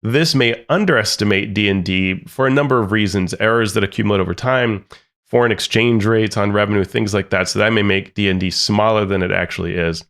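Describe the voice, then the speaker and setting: A man's voice: lowish voice, fairly quick, a bit bright. A man co-hosting a podcast, explaining sales estimates step by step and checking in with "right?" as he goes. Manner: explanatory, walks through numbers with frequent "right?". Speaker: podcast co-host. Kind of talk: analytical podcast discussion.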